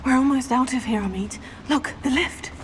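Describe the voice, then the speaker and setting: An actress voicing a brave, composed teenage girl in a video game, calm and direct. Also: high-pitched, normal speed, slight background noise, natural voice. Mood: reassuring. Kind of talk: dramatic video game dialogue.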